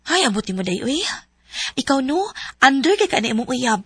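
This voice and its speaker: A woman's voice: high-pitched, big pitch swings, brisk, fairly bright tone, slightly rough, no echo. A woman acting the lead's frank, teasing friend in a radio drama, giving blunt advice. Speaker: supporting character, the lead's friend.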